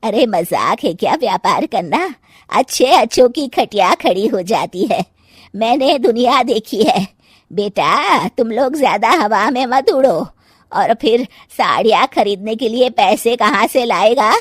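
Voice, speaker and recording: Woman voicing older cartoon mother-in-law, husky, blunt, scoffing, exclamatory, close dry sound. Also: on the high side, animated, unhurried, no echo. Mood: scornful. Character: older, scolding, mocking Indian mother-in-law. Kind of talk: cartoon moral-story acting.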